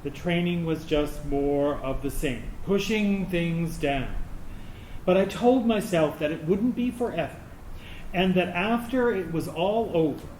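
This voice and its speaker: Anguished transgender veteran, played by a man, higher male voice, slow measured monologue, roomy recording. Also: a little mellow. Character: anguished transgender veteran recounting years of hiding. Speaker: actor in a staged reading. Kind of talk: dramatic monologue reading. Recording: roomy, noisy live stage recording.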